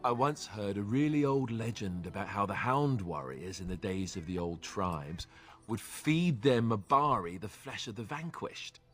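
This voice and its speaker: A man's voice: fairly low pitch, animated, a little slow, fairly bright tone. An actor playing a wry, sarcastic young male warrior in a fantasy video game. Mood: wry teasing.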